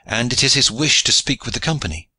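Brief: man doing a young respectful squire, soft and deferential. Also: medium pitch, lively intonation, fast, breathy edge, no echo, voice pushed up, exaggerated voice. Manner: quiet, deferential.

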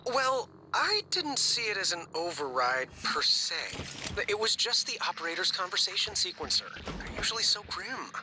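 A man's voice: high-pitched, big pitch swings, normal speed, noisy background. A man playing an easygoing station crew member who makes excuses in a video game. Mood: defensive, casual.

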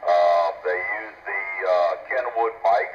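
A man's voice: low voice, takes it slowly. A man chatting casually over a two-way radio, hesitant and often repeating himself, heard through the radio's speaker. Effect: two-way radio transmission. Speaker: amateur radio operator. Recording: muffled, echoey, noisy radio speaker pickup.

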